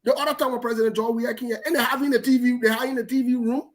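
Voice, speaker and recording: A man's voice: extremely high pitch, steady tone, very fast. A man, hosting a political discussion and pressing his points emphatically, often repeating words for stress. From Liberia.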